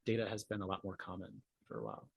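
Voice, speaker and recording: A man's voice: deep voice, sing-song, racing, vocal fry, husky, dry recording. Young man explaining, dry close sound. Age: 20s. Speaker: interview guest explaining DNA testing. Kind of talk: casual explanatory interview talk. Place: indoor room, video call.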